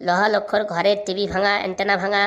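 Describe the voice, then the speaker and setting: A man's voice: sits high, flat delivery, fairly bright tone, muffled recording. A man voicing a hapless, grumbling young bachelor turned scrap hawker in a comic cartoon, with colloquial speech. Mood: calling out. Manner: complaining, colloquial, drawn-out sales calls. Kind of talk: comic cartoon dialogue and street-hawker calls.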